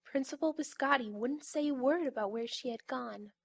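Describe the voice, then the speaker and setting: Woman as a high-voiced solemn storyteller. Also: moderate pace, creaky, breathy edge, no echo, clean studio sound, exaggerated voice. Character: solemn female storybook narrator. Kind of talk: scripted story narration.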